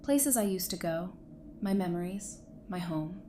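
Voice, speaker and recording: A woman's voice: fairly low pitch, animated, measured pace, mellow. An actress playing a guarded, no-nonsense woman doctor in a post-apocalyptic audio drama, speaking in a firm, dry, matter-of-fact way. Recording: faint background noise.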